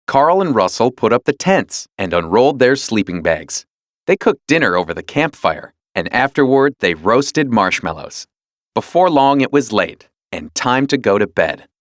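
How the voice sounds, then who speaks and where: Male storybook narrator for young readers: animated, dramatic, mid-pitched, dry studio-style sound. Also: normal speed, no room sound. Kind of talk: scripted children's read-along narration.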